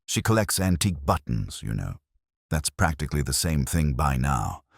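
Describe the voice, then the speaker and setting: Guy reading as stern young niece, low voice, firm and accusing, lively intonation. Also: moderate pace, gravelly, dry recording, studio quality, heavily acted. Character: stern, suspicious bespectacled young niece, female.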